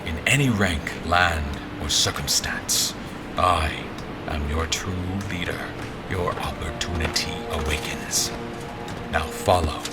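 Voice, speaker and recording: An actor playing a grandiose, messianic zealot commander who speaks and narrates solemnly in a dramatic audio fiction. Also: male voice, very deep voice, loud music behind the voice, heavily acted. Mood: commanding. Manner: solemn, deliberate, messianic speechmaking. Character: grandiose zealot commander, a self-proclaimed visionary leader.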